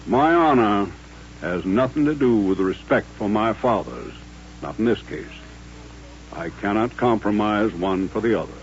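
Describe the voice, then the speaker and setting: An actor playing a proud, devout old colonel with formal, measured, courteous speech in a radio western. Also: male voice, lowish voice, expressive. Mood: firm, resolute. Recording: muffled old radio recording with hum.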